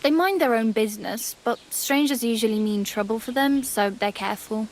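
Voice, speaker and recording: A woman's voice: a little high, moderate pace, lightly acted, poor audio. An actress playing a frank, teasing and helpful young village woman in a video game. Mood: reassuring. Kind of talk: video game character dialogue.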